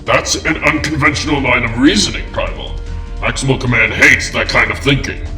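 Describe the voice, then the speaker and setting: A man voicing a seasoned, wry robot officer with a dry, measured manner in an amateur comic dub. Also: mid-range voice, sharp tone. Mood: dry, cautioning. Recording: roomy, with music bed.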